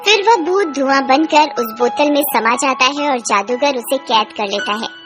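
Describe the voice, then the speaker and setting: A woman's voice: sits high, moderate pace, music in the background. A woman reading scripted storytelling narration as the narrator of a tale.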